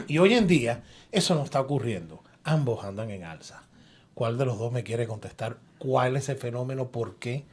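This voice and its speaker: Radio guy hosting live from the booth, joking around, mid pitch. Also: expressive, normal speed, breathy edge. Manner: jovial, joking with guests. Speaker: radio show host. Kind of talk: live radio talk show.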